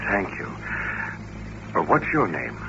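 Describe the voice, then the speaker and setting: A narrow, noisy vintage radio recording of a lonely mutant hitchhiker. His low voice probes and presses with quiet, hesitant insistence, like someone bending another person's will in an old science fiction drama. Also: huge pitch swings, takes it slowly, exaggerated voice. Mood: guarded, probing. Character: lonely male mutant hitchhiker, soft-spoken, insistent mind-controller. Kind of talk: 1950s radio drama acting.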